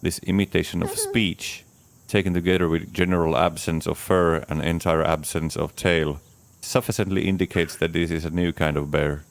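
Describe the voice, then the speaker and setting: Very deep-voiced man reading a diary. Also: a little hiss or hum. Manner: measured, deadpan first-person storytelling. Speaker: audiobook reader. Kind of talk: dramatic reading of a diary as Adam.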